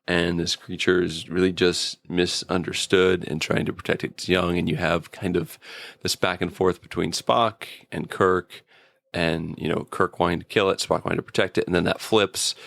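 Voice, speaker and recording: A man's voice: deep voice, flat delivery, moderate pace, vocal fry, a bit bright, no room sound. A man co-hosting a podcast, chatting casually about TV episodes in a wry, easygoing manner. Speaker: podcast co-host. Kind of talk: casual conversation and episode review.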